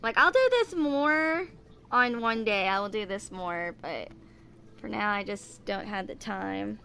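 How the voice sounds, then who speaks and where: A woman in her twenties giving casual, rambling game commentary, thinking aloud in a halting, distracted way. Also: mid-range voice, animated, creaky, bright, forceful tone, slight background noise. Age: 20s. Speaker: gaming video creator.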